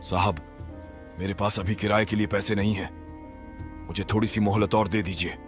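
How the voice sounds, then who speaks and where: A man's voice: on the low side, steady tone, normal speed, faint music behind, lightly acted. A man playing a humble, worried street food vendor and family man in a moral-story cartoon, speaking earnestly.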